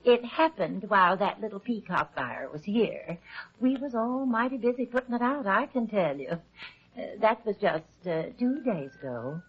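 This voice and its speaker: Husky, swooping, folksy country wife. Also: female voice, medium pitch, lively intonation, moderate pace. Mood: chatty. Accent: rural American. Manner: folksy, rambling, hesitant. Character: chatty, homey, naive country farm wife. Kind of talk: old-time radio drama acting. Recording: muffled old radio recording.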